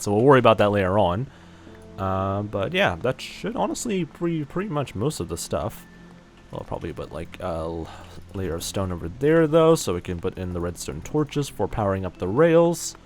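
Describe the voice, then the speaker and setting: A man chatting casually while livestreaming a game, rambling with lots of fillers and poking fun at himself. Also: fairly low pitch. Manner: rambling, many fillers, self-mocking. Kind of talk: casual gaming livestream commentary.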